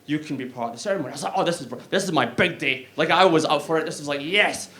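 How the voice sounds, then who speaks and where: A man's voice: on the high side, very fast, bright, forceful tone. A young man with a Glaswegian Scottish accent doing a stand-up comedy set on a club stage, chatty and self-mocking, often laughing at his own jokes. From Scotland.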